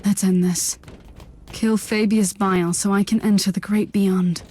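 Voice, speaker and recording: A woman's voice: mid-range voice, steady tone, a little slow, warm tone, husky. An actress playing a resolute, fatalistic warrior woman with an intense, solemn manner in a video game cutscene. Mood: cold vengeance.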